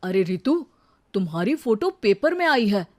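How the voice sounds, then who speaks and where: Woman doing a young husband, teasing, casual, dismissive, middling female pitch, cartoon dialogue. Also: very animated, unhurried. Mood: cheerful.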